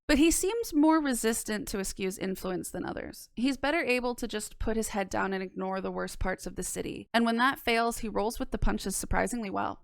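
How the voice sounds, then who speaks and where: A woman's voice: mid-range voice, gravelly, clean tone, no echo. A young woman narrating a scripted analysis into a close microphone. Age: young adult. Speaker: video essayist. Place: small indoor room. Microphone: close microphone on boom arm.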